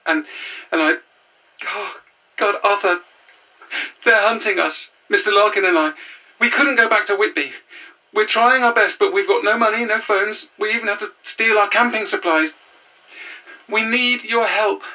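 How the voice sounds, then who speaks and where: A woman's voice: medium pitch, normal speed, bright, forceful tone, recorded from a distance, rough recording. An actor, a woman, playing an anxious, earnest young fugitive in an audio drama, hesitant and stammering. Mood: distressed, pleading. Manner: hesitant, stammering, breathless phrasing. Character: anxious, earnest young adult on the run, haunted. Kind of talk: naturalistic audio drama acting.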